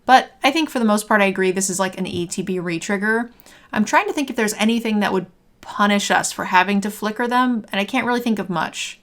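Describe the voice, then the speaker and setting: A young woman hosting a card game review, a bit hoarse as her voice is failing, talking in an upbeat conversational way. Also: mid-range voice, brisk, fairly bright tone. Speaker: host. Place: home streaming room, indoors.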